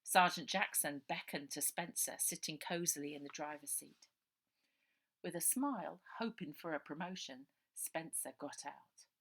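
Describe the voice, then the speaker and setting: A woman's voice: low-pitched, normal speed, a bit bright, husky. A woman narrating a children's adventure story as its author, reading aloud.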